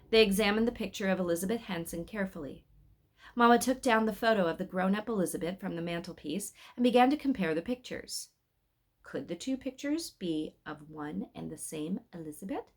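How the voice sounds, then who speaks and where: A woman's voice: medium pitch, lively intonation, fairly quick. A woman reading a story aloud in a scripted narration style.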